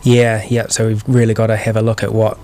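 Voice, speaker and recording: A man, a guest on a radio show, explaining services in a measured, hesitant way. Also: on the low side, completely flat delivery, warm tone. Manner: measured, hesitant, repeats words. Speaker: guest from a trust organisation.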